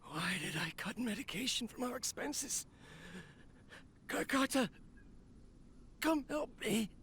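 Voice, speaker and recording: An actor playing an ailing, despairing male researcher in a video game, halting and anguished with a weakened voice. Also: very high-pitched, animated, fairly bright tone, a little hiss or hum, full character voice. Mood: pained, urgent. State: coughing, weak.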